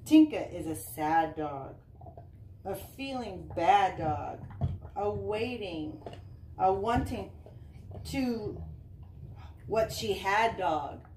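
Woman, mid-pitched, slow singsong storytime reader addressing children; distant home recording with faint noise. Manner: expressive, singsong reading with chatty asides to children. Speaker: volunteer reading aloud to children. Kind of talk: picture book read-aloud for children. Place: living room indoors. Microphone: camera a short distance away. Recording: roomy, distant camera sound with faint noise.

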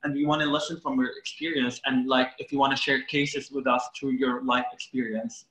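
A young man hosting a video call interview, agreeable and quick with short affirmations. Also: medium pitch, level tone, a bit bright. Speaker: host. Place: room indoors. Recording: roomy video call audio.

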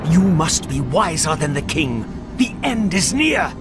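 A man's voice: a little high, sing-song, unhurried, over music. A man playing an urgent, cryptic prophet of doom in a fantasy game. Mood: urgent warning.

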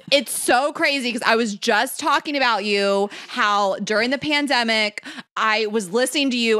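A woman's voice: a little high, a bit bright, dry recording, studio quality. A woman in her thirties co-hosting a podcast, telling a story in casual chat, laughing often and acting out quotes, on a handheld mic on a couch. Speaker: podcast co-host. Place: living room set on a couch.

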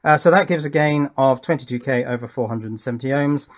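A man's voice: mid-range voice, fast. A man giving a casual, hands-on technical explanation in an informal, methodical way, as a tutorial host. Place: indoors at a workbench.

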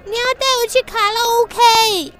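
A woman's voice: very high voice, fairly even intonation, moderate pace. A woman playing a cheeky, whiny little daughter in a comedic radio drama, with a childish Singaporean delivery. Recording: radio drama over prominent music.

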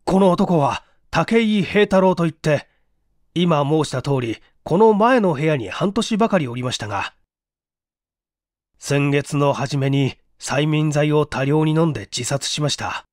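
Man acting a panicky, high-pitched frightened witness. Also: expressive, measured pace, no room sound, studio quality. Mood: shaken, insistent. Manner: stammering, breathless exclamations. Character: frightened, flustered man who found a corpse. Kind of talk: dramatized mystery audiobook reading.

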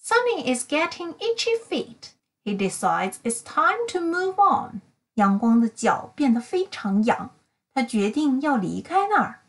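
Female picture-book reader, high and very melodic, expressive and clearly articulated storytelling. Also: a little slow. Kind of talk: expressive bilingual children's read-aloud.

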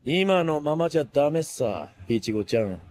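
A man's voice: a little high, very animated, normal speed, darkish tone. A male actor playing a flamboyant, cocky showman swordsmith in an anime, with a theatrical, playful sing-song delivery that can turn cold. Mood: cold, mocking. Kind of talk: Japanese anime voice acting.

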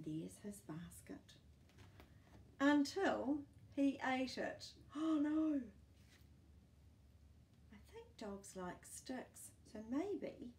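Expressive older New Zealand woman, unhurried storyteller. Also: on the high side, a little hiss or hum. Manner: expressive, chatty asides and questions to small children, dropping into whispers. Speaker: children's storytime host. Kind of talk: reading a picture book aloud to young children. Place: small book-filled room indoors.

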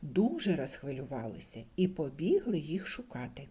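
An older woman reading a bedtime fairy tale aloud expressively, jumping to high voices for characters and dropping to soft whispers. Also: big pitch swings, moderate pace, husky.

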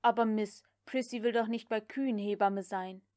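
A woman's voice: mid-range voice, steady tone, normal speed, a little mellow, natural voice. A woman voicing a deferential, anxious male house slave in an audiobook, proud but tactful, with a plaintive way of protesting. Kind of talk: audiobook character dialogue.

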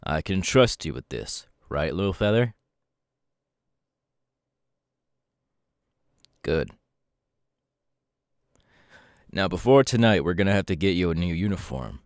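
Guy doing a serious hero mentor for asmr roleplay, really low voice, dry close sound. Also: sing-song, a little fast, no room sound. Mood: serious, trusting. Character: serious young male pro hero mentor briefing his protégé.

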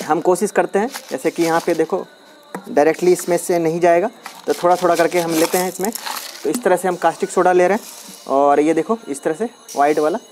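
A man's voice: on the high side, steady tone, fairly quick, darkish tone, faint music behind. A man chatting casually as he shows a hands-on experiment step by step, recorded outdoors on a phone held over his hands. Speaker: DIY experiment vlogger. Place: outdoors on a concrete floor, at dusk.

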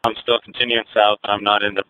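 A man's voice: fairly low pitch, little pitch movement, quick pace. A man working as a state patrol officer, giving terse, clipped reports over a police radio. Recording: noisy police scanner radio audio.

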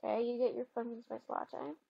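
A woman's voice: a little high, little pitch movement, a little fast, vocal fry, husky, dry recording, dull audio. A woman chatting casually as she role-plays through a video game as the host. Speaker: gaming video host, mother playing with her daughter. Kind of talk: casual role-play chat over gameplay.

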